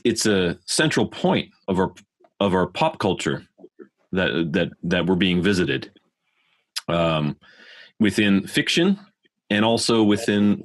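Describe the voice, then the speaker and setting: Man, low pitch, podcast co-host, rambling, repeats himself. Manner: rambling questions, repeats words. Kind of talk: casual chat.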